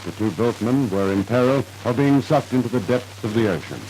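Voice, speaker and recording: A man's voice: mid-range voice, lively intonation, fairly quick, mellow, a little hiss or hum, poor audio. A man narrating a scripted 1950s radio drama in a formal, dramatic storytelling manner, on an old radio recording.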